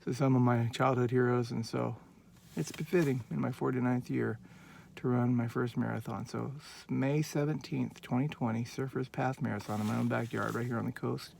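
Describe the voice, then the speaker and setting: A man in his late forties from Northern California talks straight into his handheld phone in a casual personal vlog, earnest and heartfelt, wandering from thought to thought. His voice is heavily gravelly with a lot of fry, sitting at an ordinary middle pitch. A faint hiss of background noise sits under the recording. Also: fairly even intonation, normal speed, a little mellow, poor audio. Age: 40s. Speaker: vlogger.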